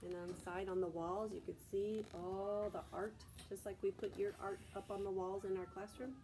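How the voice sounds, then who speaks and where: A woman's voice: mid-range voice, level tone, moderate pace, noisy background. An American woman, a preschool teacher from Texas, reads aloud to young children in simple phrases, stopping to explain and ask questions. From Texas, United States.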